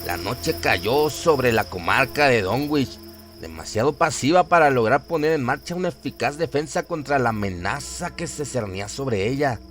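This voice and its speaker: A man's voice: mid-range voice, expressive, moderate pace, a bit bright. A man narrating a horror radio story in a solemn, literary, suspenseful manner. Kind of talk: dramatic scripted horror narration. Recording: music behind the voice. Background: music.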